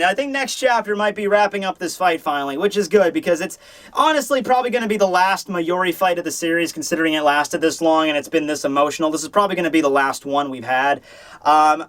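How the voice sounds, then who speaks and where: A man hosting a manga review, talking in a casual, opinionated, rambling and animated way with casual swearing. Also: high-pitched. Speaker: host of a manga review show.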